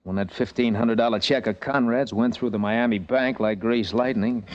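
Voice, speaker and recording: An actor playing a brash, wry American helicopter pilot in a hard-boiled old-time radio drama. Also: male voice, mid-range voice, fairly even intonation, normal speed, darkish tone, highs cut off, close to an everyday voice. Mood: flirtatious, wisecracking. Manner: wry, laconic, wisecracking. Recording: vintage radio recording, music behind.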